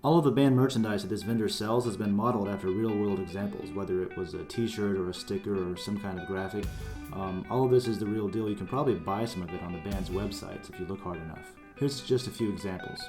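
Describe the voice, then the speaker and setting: A man's voice: fairly low pitch, quick pace, over music. A man presenting his own project in casual, conversational promotional narration. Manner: informal, conversational.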